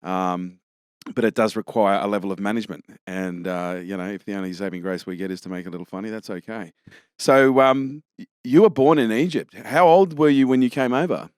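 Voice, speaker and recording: A man's voice: lowish voice, fairly quick, a little mellow, smooth, dry recording, studio quality. A man hosting a podcast interview, asking questions in a blunt, matey way with casual swearing.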